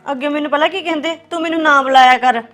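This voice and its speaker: A woman's voice: sits high, fairly even intonation, fast talker, fairly bright tone, soft background music. An actress playing a young wife who complains tearfully that she is blamed for nothing. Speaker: young wife in a family quarrel. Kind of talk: family drama acting.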